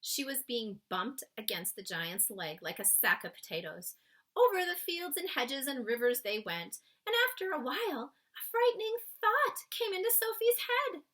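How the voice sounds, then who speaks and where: Very animated, bright-voiced woman reading a storybook. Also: high voice. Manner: expressive, suspenseful, with emphatic drawn-out words. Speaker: children's book read-aloud reader. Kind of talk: dramatic storybook reading with asides to young listeners.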